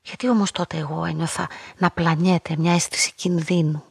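A woman's voice: on the low side, level tone, creaky, breathy edge, dry recording, studio quality. An actress playing a lonely, observant young woman who narrates her own story reflectively in a radio play. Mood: reflective, uneasy. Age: young adult. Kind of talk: first-person literary radio drama narration.

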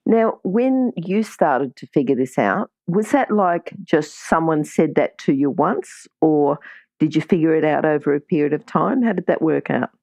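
A woman hosting a casual interview, chatty and quick to chime in with agreement. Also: sits low, expressive, gravelly, a little mellow, no room sound. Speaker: podcast interview host.

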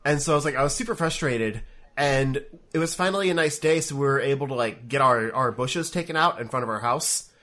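Stuffy-nosed guy rambling on a home podcast call, ums and restarts everywhere, middle pitch. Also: a little fast, pushed and bright. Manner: rambling, many fillers and restarts. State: congested, sniffly from a cold. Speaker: podcast co-host. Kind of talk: casual chat. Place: home room. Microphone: webcam setup, remote call.

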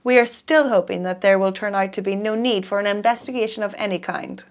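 Stiff, hesitant gentleman of the house, read by a woman. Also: medium pitch, a little fast, close to an everyday voice.

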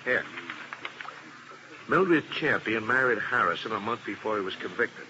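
A man's voice: lowish voice, animated, measured pace, pushed and bright, heavily acted. An actor playing a male police detective in a 1950s radio drama, speaking in a casual, musing way. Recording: dull hissy old radio broadcast.

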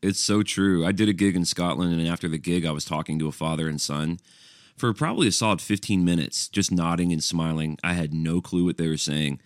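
A man's voice: deep voice, brisk, no room sound. A male podcast co-host and musician from Alabama, teasing his partner with dry, wry humor. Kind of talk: casual podcast banter.

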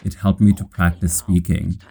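A man from Kenya with an American accent, calmly narrating his own story in measured sentences. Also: deep voice, gravelly, breathy edge. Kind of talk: scripted narration.

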